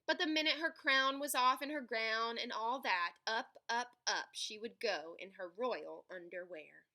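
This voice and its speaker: A woman reading a children's picture book aloud in an animated, dramatic way, stretching key words for emphasis. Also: a little high, unhurried. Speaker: read-aloud storyteller.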